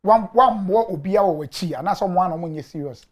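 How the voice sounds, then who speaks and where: A man in his thirties with a Ghanaian accent, hosting a TV studio interview and mixing Twi into his English. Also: sits high. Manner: quick, mixes Twi with English. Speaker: talk show host. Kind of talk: casual interview talk. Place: tv studio set.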